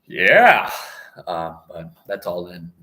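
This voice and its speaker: A young man hosting a casual livestream, rambling and stop-and-start, a little hoarse. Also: sits low, big pitch swings, takes it slowly, bright, forceful tone. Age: young adult. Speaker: livestream host.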